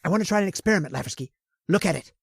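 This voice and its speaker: A woman's voice: lowish voice, lively intonation, very fast, vocal fry, breathy edge, no room sound, heavily acted. A woman playing an obsessive, arrogant male scientist who bosses his assistant around and sneers at him. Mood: commanding. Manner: bossy and domineering, gloats and sneers. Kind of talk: old-time radio horror acting.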